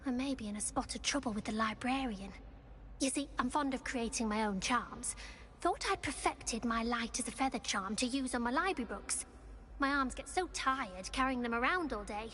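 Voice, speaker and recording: A woman's voice: a little high, lively intonation, moderate pace, a bit bright, slight background noise. A woman voicing a polite, flustered teenage witch student with a British accent in a video game, chatty and apologetic. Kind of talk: scripted video game dialogue.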